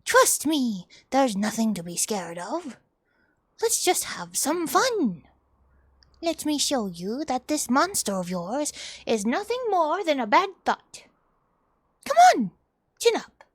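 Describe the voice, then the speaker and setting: A woman voicing an eager teddy bear companion in a game, coaxing and reassuring in drawn-out theatrical phrases. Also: high-pitched, sing-song, dry recording, heavily acted. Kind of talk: video game character dialogue.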